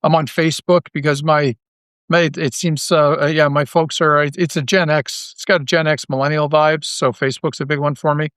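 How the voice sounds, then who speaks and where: A man's voice: fairly high pitch, steady tone, normal speed, a bit bright, clean tone, dry recording, studio quality. A man talking casually as a podcast guest, rambling along with enthusiasm. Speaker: podcast guest, audio drama creator. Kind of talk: casual interview chat.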